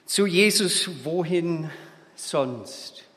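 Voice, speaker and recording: A man in his fifties from Minnesota with an American accent, preaching a sermon in a halting way, with restarts and small slips. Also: on the high side, expressive, a bit bright. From Minnesota, USA. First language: English. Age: 50s. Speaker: guest preacher. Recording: long hall reverb.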